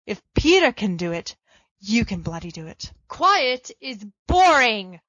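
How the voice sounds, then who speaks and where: A woman's voice: mid-range voice, sing-song, a little slow, fairly bright tone, no echo. A woman reading a fan fiction letter aloud with a playful, cheeky, mock-pompous delivery. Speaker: podfic reader. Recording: dull lo-fi dry home recording.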